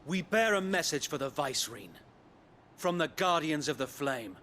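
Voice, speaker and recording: An actor playing a gallant, resolute knight in a video game, speaking formally with a British accent. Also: male voice, fairly high pitch, lively intonation, pushed and bright, a little hiss or hum. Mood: grim, resolute. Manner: formal, measured military speech. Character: gallant, resolute knight leading a rebel band. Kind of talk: video game cutscene acting.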